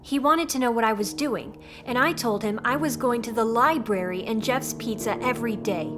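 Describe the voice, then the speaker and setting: A woman's voice: fairly high pitch, normal speed, lightly acted. A woman voicing a sulky, resentful young boy, whiny and aggrieved, in audiobook dialogue. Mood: frustrated. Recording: music bed behind the voice.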